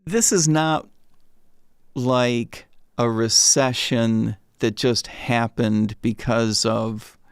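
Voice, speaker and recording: A man's voice: mid-range voice, steady tone, drawn-out words, clear, resonant tone, no echo, studio quality. A man in his sixties, a retired economist, telling stories as a guest in a casual interview and often repeating words when he starts a sentence.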